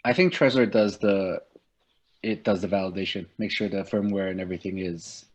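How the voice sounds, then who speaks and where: A man sharing advice on a live talk show, hedging with "like" and "kind of". His voice is low, and the audio sounds dull and lo-fi. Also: moderate pace. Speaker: podcast co-host. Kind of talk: casual live chat.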